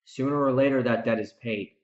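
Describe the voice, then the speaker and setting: A man's voice: mid-range voice, steady tone, a little fast, a little mellow. A man hosting a solo podcast in a rambling, emphatic, preachy way, repeating phrases for stress. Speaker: podcast host and author. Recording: roomy echo, slightly dull lo-fi sound.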